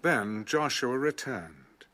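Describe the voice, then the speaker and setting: A man narrating an ancient scripture story in a solemn, dramatic, measured storytelling style. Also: medium pitch, lively intonation, over loud music. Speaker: Bible narrator on a recorded audio reading.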